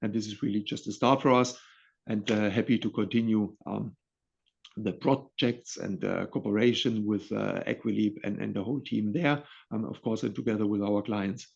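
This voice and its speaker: A low-pitched man with a gravelly edge walks through market index results in a webinar. He speaks haltingly, with many fillers and restarts. The sound is muffled and lo-fi, like a video call. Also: steady tone. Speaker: index provider presenter. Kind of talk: webinar presentation.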